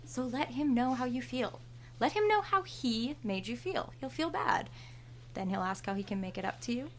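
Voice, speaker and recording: An actress playing a sardonic, self-assured store cashier of thirty in a horror audio drama. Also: female voice, a little high, expressive, moderate pace, slight background noise, lightly acted. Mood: scheming, sly. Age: 30s. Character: brazen, entitled thirty-year-old store cashier, sly confidante.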